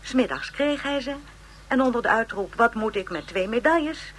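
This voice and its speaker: A woman playing an encyclopedia narrator in a radio drama, giving formal, expressive, measured biographical narration. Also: a little high, a little hiss or hum. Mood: informative, wry.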